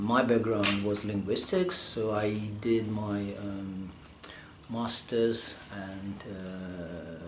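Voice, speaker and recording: A man from Russia, a scholar answering interview questions, pausing often as he searches for his words. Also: lowish voice. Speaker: scholar interviewed about manuscript studies. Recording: roomy echo, faint background hum.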